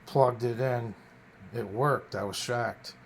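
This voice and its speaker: Man, mid-pitched, creaky voice, halting delivery, casual vintage radio demonstration, faint background noise. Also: fairly even intonation. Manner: halting, stumbles over words when reading. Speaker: radio collector presenting a vintage radio. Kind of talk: casual product demonstration, partly reading notes aloud.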